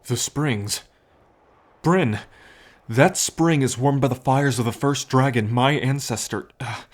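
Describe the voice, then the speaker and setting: A man voicing a solemn dragon of royal blood, an older half-brother who explains things in a measured way in an ASMR story roleplay. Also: mid-range voice, close to an everyday voice.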